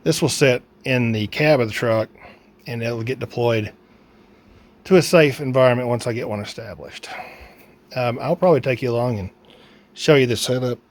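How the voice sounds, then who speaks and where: A man's voice: mid-range voice, moderate pace, long pauses. A man giving a casual hands-on how-to, thinking aloud informally as he works. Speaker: DIY radio hobbyist presenter. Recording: clear background noise, ambient sound.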